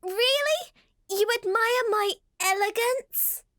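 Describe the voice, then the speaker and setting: Woman doing a creepy little doll girl, really high and slow, vain and sly, radio drama style. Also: sharp tone, exaggerated voice. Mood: flattered. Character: eerie, vain talking girl doll, slyly coaxing. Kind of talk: horror radio drama acting.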